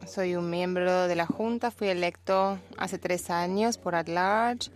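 A woman with a Rioplatense Spanish accent doing simultaneous interpretation, relaying speech in a steady, even way. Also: fairly low pitch, takes it slowly.

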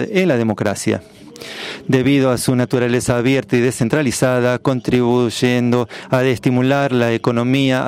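Guy interpreting a speech, smooth resonant voice, mid pitch, formal and steady. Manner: steady, formal delivery with occasional self-corrections. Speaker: simultaneous interpreter. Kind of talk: live interpretation of a ministerial speech.

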